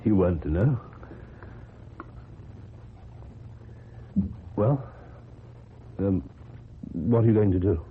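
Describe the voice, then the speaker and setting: An actor with a British accent playing a lonely, awkward, earnest man in a radio drama, speaking formally and haltingly. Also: low voice, lively intonation, breathy edge, heavily acted. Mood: awkward concern. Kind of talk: old-time British radio drama acting. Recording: muffled, noisy old radio recording.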